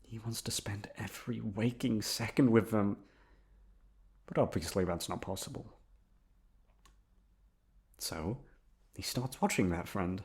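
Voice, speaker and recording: A man playing an obsessive, lovesick young man in an intimate ASMR roleplay, coaxing softly with drawn-out words and emphatic repetition. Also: lowish voice. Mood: anguished, obsessive, then creepy, coy. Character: obsessive lovesick young man confessing to his best friend.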